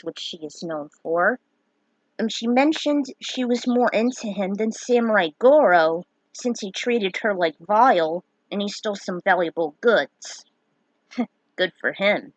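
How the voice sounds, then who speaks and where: A woman's voice: mid-range voice, expressive, measured pace, dry recording, rough recording. A woman reading a fan fiction story aloud, dramatic in her telling and given to opinionated asides. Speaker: narrator.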